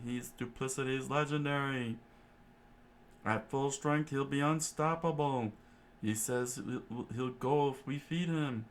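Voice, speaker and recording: A man doing a shrill panicked cook. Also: close to an everyday voice. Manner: shrill and urgent. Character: frantic shouting male cook warning of a pirate.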